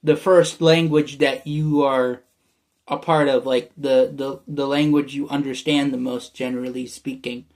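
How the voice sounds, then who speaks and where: A man's voice: medium pitch, little pitch movement, unhurried, a little mellow. A young man from the United States with an American accent that people mistake for Canadian, talking in a meandering casual monologue full of fillers and restarts. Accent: American, often heard as Canadian. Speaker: vlogger.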